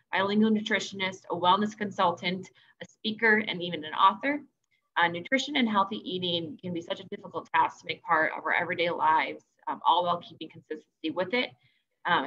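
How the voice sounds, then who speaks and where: A woman's voice: fairly low pitch, a bit bright. Upbeat woman introducing a guest speaker. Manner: upbeat, with many ums. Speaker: athletic director introducing a guest. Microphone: video call. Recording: slightly dull video-call audio.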